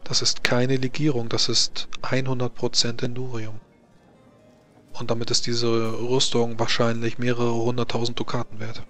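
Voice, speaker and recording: A man playing a grave, worried court mage in a tabletop game, explaining things in a formal, halting, deliberate way. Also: medium pitch, monotone, a bit bright, soft background music, close to an everyday voice. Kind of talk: tabletop roleplay in character.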